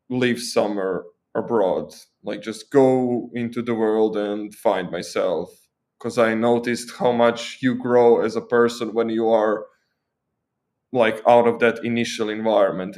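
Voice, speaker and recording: A young man from Croatia with a Croatian accent, a guest talking casually and thoughtfully in an interview, often restarting his phrases. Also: medium pitch. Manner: thoughtful, with frequent restarts and fillers.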